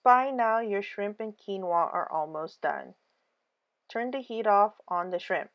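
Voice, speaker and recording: A woman giving a scripted cooking voice-over, calm clear step-by-step instructions for a home recipe. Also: medium pitch, measured pace, highs cut off. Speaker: cooking channel host.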